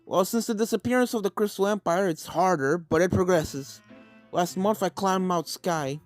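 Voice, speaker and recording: A man voicing a calm, modest unicorn stallion explorer with a measured, matter-of-fact delivery. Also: high voice, faint music behind, natural voice.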